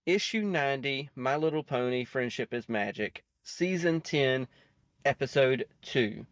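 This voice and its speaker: A man hosting a reaction video with casual, rambling commentary, haltingly thinking aloud. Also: mid-range voice, expressive, dry recording.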